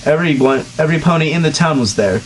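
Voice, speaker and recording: A man hosting a comedic dramatic reading, reading the text aloud and breaking off into sarcastic, deadpan asides. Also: on the high side, quick pace, a little hiss or hum, rough recording. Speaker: reader and host.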